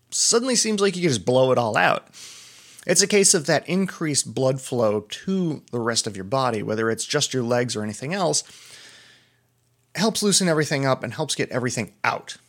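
A man's voice: mid-range voice, fairly bright tone. A middle-aged man, a doctor, hosting a casual solo podcast, chatty and prone to digressions. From Pennsylvania. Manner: chatty, digressive, self-deprecating.

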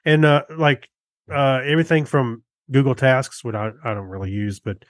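A man co-hosting a casual tech podcast, speaking in a halting way as he thinks aloud. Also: mid-range voice, no room sound, studio quality. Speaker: podcast co-host.

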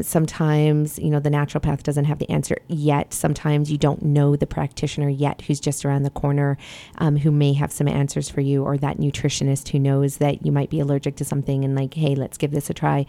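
A woman's voice: sits low, dark tone, no room sound. A woman hosting a podcast as a health coach, talking earnestly and passionately in casual conversation, with many fillers.

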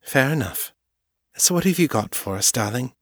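A man's voice: medium pitch, animated, normal speed, a bit bright, no echo, voice pushed up. A man voicing a poised female vampire doctor who speaks dryly and with wry irony. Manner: dry and wry, ironic.

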